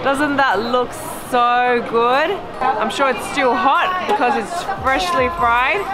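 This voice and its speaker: A woman's voice: sits high, slow, poor audio. A woman in her thirties from Australia, describing street food in a casual vlog at a night market. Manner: describes food in detail, savoring bites. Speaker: food vlogger host. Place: busy night market, outdoors. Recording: loud crowd and music behind. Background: loud crowd and music.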